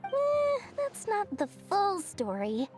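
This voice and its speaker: A woman's voice: very high-pitched, huge pitch swings, takes it slowly, exaggerated voice. A woman playing a small, shrewd, boastful merchant girl in a video game, with a theatrical, sly delivery. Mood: explaining, confident. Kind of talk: animated video game character acting. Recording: game music behind the voice.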